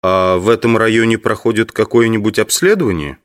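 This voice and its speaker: Man acting polite teacher, amateur entomologist, audio drama, lowish voice, patient, educated manner. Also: fairly even intonation, brisk, natural voice. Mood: polite, reassuring. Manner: courteous, explains patiently.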